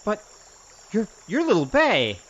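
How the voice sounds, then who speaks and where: A woman's voice: mid-range voice, huge pitch swings, slow, lo-fi sound, heavily acted. A woman acting in an audio drama as a wry, streetwise investigator with a cocky, teasing, casual manner. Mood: surprised, curious.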